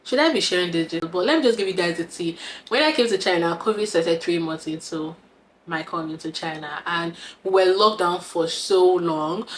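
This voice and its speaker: A young Nigerian woman in her twenties, talking to camera in an easy, chatty way and laughing often. Her voice sits a little low for a woman. Faint noise hums under her. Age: 20s. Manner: chatty and giggly, with frequent laughs. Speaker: vlogger. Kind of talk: casual talk to camera.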